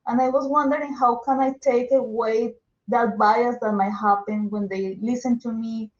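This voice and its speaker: A woman from Colombia, speaking English with a strong Colombian Spanish accent, asks a careful question. Her slightly high voice sounds roomy and dull, recorded in an echoey space. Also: fairly even intonation, normal speed. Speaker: audience member asking a question.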